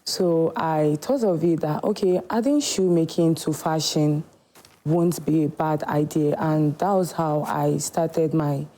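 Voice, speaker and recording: A woman from Nigeria, a shoemaker guest telling her story in a TV studio interview, earnest and unhurried. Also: deep voice, dark tone.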